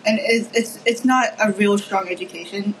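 A soft-spoken teenage girl from the Philippines talks in a hesitant way, often repeating her words. Her pitch sits in a middling range, with a faint background hum behind her. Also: fairly even intonation, normal speed, rough recording. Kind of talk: casual discussion.

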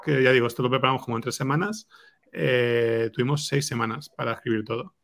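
A man's voice: mid-range voice, quick pace, creaky, a bit bright. A man with an accent from Spain giving casual, conversational answers as an interviewed scriptwriter, often checking for agreement. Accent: Spanish from Spain. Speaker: scriptwriter guest being interviewed.

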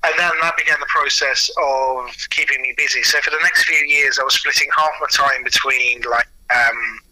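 A middle-aged British man with a very bright, forward voice talks as an interview guest. He is chatty and self-deprecating, telling anecdotes in a casual way. The sound is a little thin, like radio or a phone line. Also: mid-range voice, steady tone, moderate pace, dry recording. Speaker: interview guest, audio drama producer and writer.

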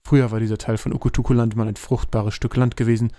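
A man's voice: mid-range voice, flat delivery, fast, mellow, dry recording, studio quality. A man narrating a scripted fantasy audio drama as a storyteller.

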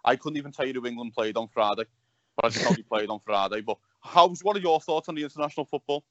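Halting male podcast host, dull dry sound. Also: medium pitch, fairly bright tone, no echo. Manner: halting, repeats words. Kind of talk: casual chat.

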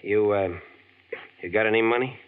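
An actor playing a breezy, persuasive truck driver in a 1940s radio drama, slangy and easygoing. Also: male voice, on the low side, normal speed, close to an everyday voice. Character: smooth-talking male truck driver, Hal. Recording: tinny old radio, clear background hiss.

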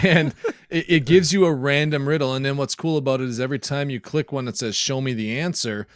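A man's voice: mid-range voice, brisk, dry recording, studio quality. A man co-hosting a podcast, chatting casually with the others. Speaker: podcast co-host.

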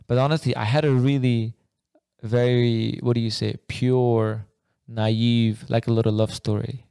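A man's voice: medium pitch, flat delivery, measured pace, creaky, warm tone, clean tone, dry recording, studio quality. A young man from Afghanistan, co-hosting a podcast in loose, rambling casual chat full of slang like bro and man. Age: young adult. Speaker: podcast co-host.

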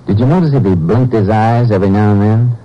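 An actor playing a steady, businesslike Texas Ranger in a 1950s radio drama, with clipped, matter-of-fact delivery. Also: male voice, on the low side, fast talker, lightly acted. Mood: brisk, investigative. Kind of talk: 1950s radio drama acting, narration and dialogue. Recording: muffled 1950s radio broadcast, music behind.

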